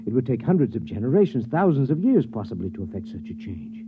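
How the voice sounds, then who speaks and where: An earnest, hesitant professor played by a man in a mid-century radio drama. His delivery swings widely in pitch, halting and full of wonder. The recording is thin and tinny, with audible hum and noise. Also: big pitch swings, natural voice. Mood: puzzled, hesitant. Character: earnest male professor, veteran scientist, hesitant and astonished.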